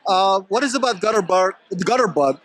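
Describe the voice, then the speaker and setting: Middle-aged man, red carpet host, high voice, fast and dry. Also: animated, a bit bright, no room sound. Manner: quick, upbeat questions. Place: film festival red carpet, indoors. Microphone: handheld interview mic.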